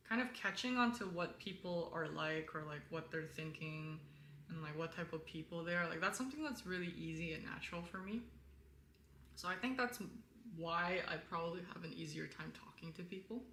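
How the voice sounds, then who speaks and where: Bright-voiced young woman in a roomy space. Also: normal speed. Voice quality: lower-toned voice. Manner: candid, rambling, frequent "like". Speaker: live stream host. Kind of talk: casual live stream chat with viewers. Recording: roomy echo, faint background noise.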